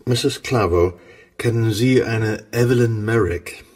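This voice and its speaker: A polite, dry and reserved gentleman in a radio drama. His low voice is husky. He sounds slightly away from the microphone. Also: slow, recorded from a distance. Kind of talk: German radio play acting.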